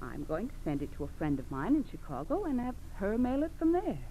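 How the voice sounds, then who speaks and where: An actress playing a domineering, scheming American criminal wife in 1940s radio crime drama, bossy, coaxing and belittling. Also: female voice, mid-range voice, wildly swooping pitch, fairly quick, warm tone. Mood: scheming, persuasive. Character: domineering, scheming criminal wife goading her frightened husband. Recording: dull old radio recording with hiss.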